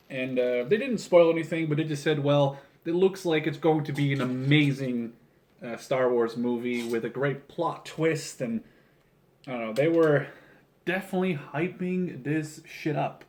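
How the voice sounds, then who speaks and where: A man's voice: medium pitch, darkish tone. A man in his early twenties chatting casually in a rambling vlog style, informal and often interrupting himself with jokey asides. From Canada. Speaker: video creator building a toy model. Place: small room at home, seated at a table indoors. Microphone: camera on tripod about a metre or two away.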